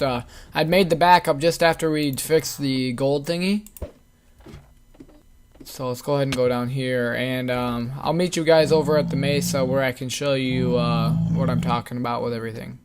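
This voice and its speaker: A man's voice: medium pitch, steady tone, normal speed, a little hiss or hum. A young man with a voice that is giving out, rambling through a casual game commentary with many fillers. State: losing his voice. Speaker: gaming channel host. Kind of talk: casual Let's Play commentary.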